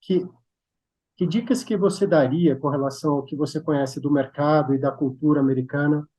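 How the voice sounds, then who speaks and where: A man's voice: on the high side, fairly even intonation, a little fast, dark tone, rough recording. A man interviewing a guest, asking long, rambling questions with restarts and tag questions. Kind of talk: interview conversation.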